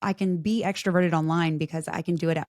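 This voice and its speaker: A woman's voice: fairly low pitch, fairly even intonation, fast talker, a little mellow, no echo, studio quality. A woman in her forties, a podcast guest, telling personal stories in casual chat and acting out the voices of people in them. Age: 40s.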